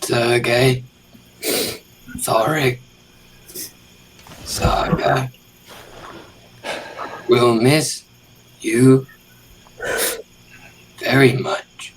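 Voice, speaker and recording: A man playing a dead adventurer in tabletop roleplay, solemn and tender, speaking in halting fragments. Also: medium pitch, little pitch movement, slight background noise, low-quality recording. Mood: tender, mournful. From Britain. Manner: halting, short clipped fragments. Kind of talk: improvised tabletop roleplay drama.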